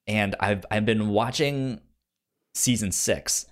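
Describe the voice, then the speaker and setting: Low-voiced man with a stuttering, halting delivery. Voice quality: frequent stutter on repeated syllables. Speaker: podcast co-host. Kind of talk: casual debate chat about movies.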